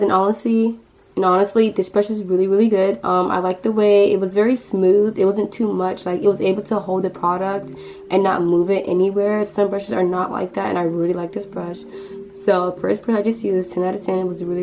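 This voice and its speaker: A teenage girl with a hoarse voice from losing it, chatting casually through a makeup tutorial with many fillers and restarts. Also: medium pitch. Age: teens. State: hoarse, lost voice. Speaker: beauty vlogger.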